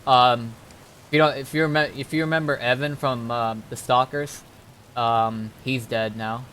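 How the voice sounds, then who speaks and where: A man chatting casually on a game livestream, telling stories in a laid-back, halting way. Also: medium pitch, fairly even intonation, a bit bright, slight background noise. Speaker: game streamer. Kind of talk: casual livestream chat, recapping roleplay events.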